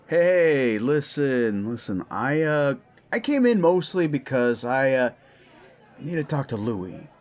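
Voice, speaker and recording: A man's voice: medium pitch, sing-song, takes it slowly. A man voicing a scrawny, defensive werewolf bully who stammers, blusters and swears in an audio drama. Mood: nervous. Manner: flustered stammering, blustering, foul-mouthed. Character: Fenris, scrawny hot-headed werewolf bully, male, defensive. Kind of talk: scripted audio drama dialogue.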